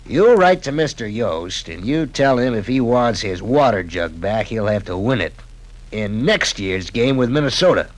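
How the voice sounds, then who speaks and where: Folksy college sports boss male actor, low voice, animated swings, musing then decisive, vintage radio drama. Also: natural voice. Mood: musing, sly. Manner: musing, drawn-out deliberation.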